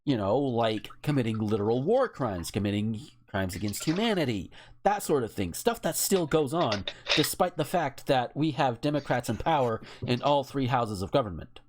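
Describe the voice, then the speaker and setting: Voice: medium pitch, lively intonation, normal speed, slight background noise. An American speaker in casual, opinionated political chat, speaking emphatically and swearing freely. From United States. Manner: emphatic, profane, outspoken. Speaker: podcast co-host.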